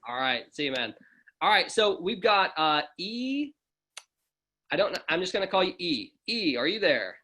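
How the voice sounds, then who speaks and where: A man's voice: sits high, very animated, moderate pace, sharp tone. A man hosting an online video call, friendly and joking as he brings speakers on. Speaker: host of an online presentation. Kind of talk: upbeat casual hosting.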